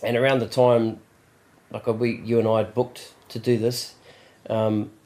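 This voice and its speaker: A man's voice: mid-range voice, flat delivery, unhurried, a little mellow, poor audio. Easygoing male podcast host. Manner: affirming, lots of yeahs and ums. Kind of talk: casual interview chat.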